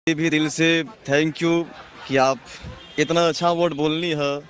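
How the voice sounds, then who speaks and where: A man's voice: fairly high pitch, steady tone, takes it slowly. A man with a Bhojpuri accent in casual chat that slips into swaggering, film-style dialogue, full of familiar endearments.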